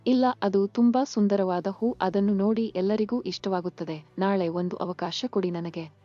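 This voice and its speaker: A woman voicing an earnest, hopeful young village daughter-in-law in an animated moral story, polite and pleading. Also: mid-range voice, moderate pace, no room sound, soft background music, natural voice. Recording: muffled lo-fi sound.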